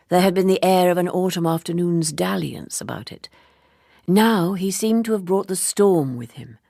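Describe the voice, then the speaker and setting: A woman reading literary fiction as an audiobook narrator, in a measured, hushed and dramatic manner. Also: low-pitched, creaky, slightly rough, no echo, clean studio sound.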